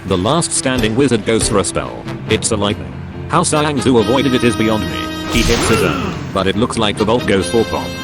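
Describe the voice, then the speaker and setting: A man as a low-voiced arena fight commentator. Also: sing-song, normal speed. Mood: excited. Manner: dramatic, showmanlike. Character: excitable male arena commentator, a sportscaster co-host. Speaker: co-host commentator. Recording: loud music behind the commentary.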